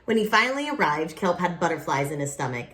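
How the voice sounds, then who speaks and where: A woman reading a picture book aloud expressively, with dramatic pauses and casual asides to young listeners. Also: on the low side, big pitch swings, a bit bright. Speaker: children's picture book reader.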